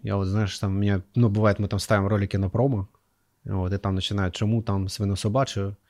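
A man hosting a casual interview, talking in quick, slangy speech full of fillers. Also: on the low side, level tone, darkish tone. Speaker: host.

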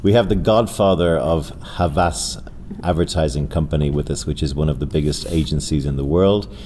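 A man's voice: very deep voice, normal speed, darkish tone. A man from Ireland with an Irish accent hosting a conversational podcast interview. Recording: clear background noise.

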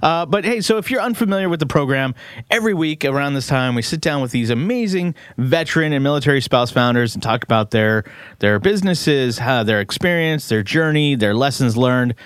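A man's voice: mid-range voice, expressive, brisk, clear, resonant tone, dry recording, studio quality. A man hosting an interview podcast, chatting casually and upbeat, with lots of fillers and restarts. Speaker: podcast host.

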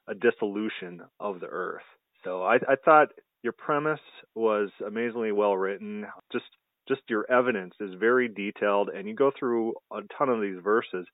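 Eager host with a low voice. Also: male voice, no room sound. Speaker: podcast host. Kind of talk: hosting an interview. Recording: dry, phone-like audio.

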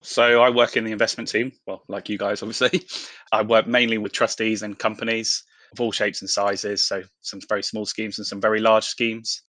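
Guy explaining stuff in an interview, sharp clear tone, pretty level pitch. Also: flat delivery, normal speed, no echo. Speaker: investment professional, interview guest.

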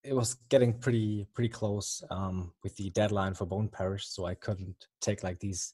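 A young German man speaks in a lowish voice with a German accent, pausing often and restarting his sentences. The sound is dry and close, with no room around it. He comes across as a thoughtful, unhurried guest. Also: steady tone, dark tone, slightly rough, no echo. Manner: hesitant, many ums and restarts. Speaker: comic artist guest. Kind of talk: casual interview answers.

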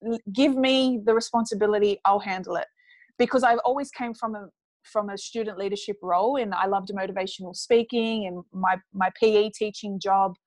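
A woman's voice: medium pitch, fairly even intonation, fairly quick, dry recording. A woman from Australia with an Australian accent, chatting upbeat as an interview guest and laughing often. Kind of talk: casual interview chat.

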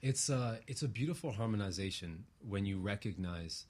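A man's voice: fairly low pitch, expressive, moderate pace, darkish tone. A man speaking as an interview guest in a reflective, earnest way, using abstract spiritual phrasing and often checking for agreement.

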